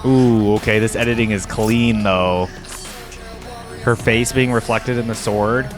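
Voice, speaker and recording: A man's voice: on the low side, steady tone, unhurried, over music. A man giving casual, enthusiastic reaction commentary as a video creator, chatty and informal.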